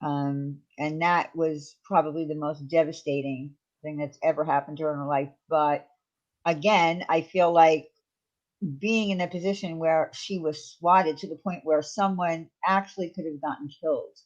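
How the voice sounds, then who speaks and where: A woman's voice: sits low, steady tone, moderate pace, lo-fi sound. A woman hosting a livestream, chatting casually with viewers and responding to their messages. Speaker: livestream host. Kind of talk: casual chat with live viewers.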